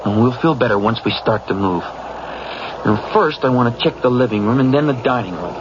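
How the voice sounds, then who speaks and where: Actor as skeptical husband, muffled noisy radio horror. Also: male voice, medium pitch, expressive, fairly quick, close to an everyday voice. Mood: soothing. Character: skeptical husband, alternately reassuring and exasperated, in a horror play. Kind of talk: suspense radio drama acting. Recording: muffled radio recording with loud background noise.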